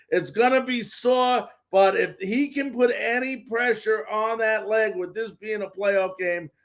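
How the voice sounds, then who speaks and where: Guy with a pretty high voice hosting a live sports show, running through scores and comments. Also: a bit bright.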